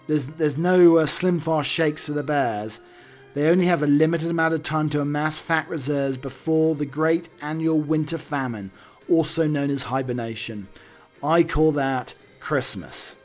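A man with a croaky voice hosting a podcast, delivering scripted comic monologues and readings in a wry, theatrical manner. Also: a little high, measured pace, a little mellow, soft background music. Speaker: podcast host.

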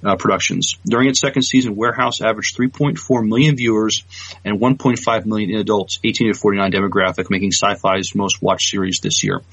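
A man co-hosting a casual news podcast, speaking haltingly and stammering over repeated words. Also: fairly low pitch, fairly bright tone, slightly rough, no echo, dull audio. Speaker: podcast co-host.

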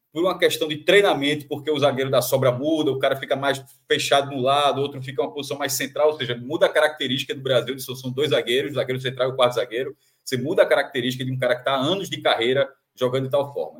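A Brazilian man analyzing football on a panel show, animated and full of restarts and repeated phrases. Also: medium pitch, very fast. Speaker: football commentator. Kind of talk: sports analysis panel chat.

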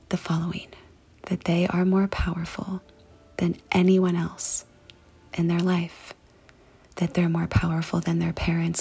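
A woman leading a guided meditation and prayer in a soft, hushed, breathy and creaky voice, speaking deliberately with pauses. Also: on the low side. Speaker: podcast host and meditation guide. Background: music.

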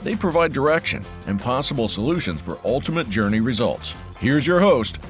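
A man announcing a podcast intro with a lively scripted delivery. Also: mid-range voice, fairly quick. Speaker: show announcer. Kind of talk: scripted intro over music. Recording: announcer over music bed.

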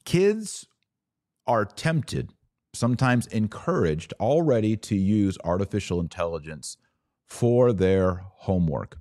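A middle-aged American man with a very low voice, recorded close and dry. He hosts a religious commentary podcast, talking off the cuff to his listeners. He leans on key words for emphasis and drops into conversational asides. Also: dark tone, no room sound. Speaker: podcast host.

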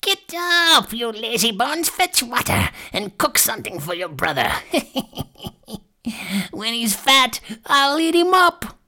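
A shrill old storybook witch, swoops high and stretches out words with mock sweetness. The delivery is sly, gloating and cruel, with a great deal of swing in pitch. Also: big pitch swings, bright, forceful tone, heavily acted. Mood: commanding, cruel. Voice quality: shrill, cackling. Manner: drawn-out, mocking, swooping delivery.

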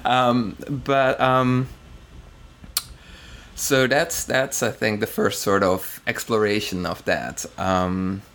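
A man's voice: fairly low pitch, level tone, slow, a bit bright. A man with a Dutch accent from the Netherlands, a guest in a conversation, speaking hesitantly with many ums and some laughter. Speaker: interview guest. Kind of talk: casual conversation.

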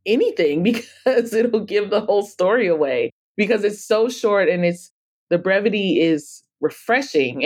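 Lowish-voiced woman, emphatic podcast guest. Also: darkish tone. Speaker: podcast guest, author and publisher. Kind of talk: conversational interview.

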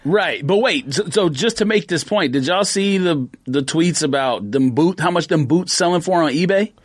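A man's voice: on the high side, animated, normal speed, husky, no room sound, clean studio sound. A man co-hosting a podcast in casual chat, conversational and a bit hesitant. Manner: conversational, questioning, a little hesitant.

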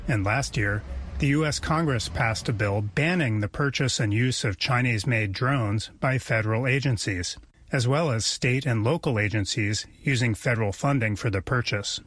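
A man narrating a news report in a measured way. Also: medium pitch, a bit bright, no echo.

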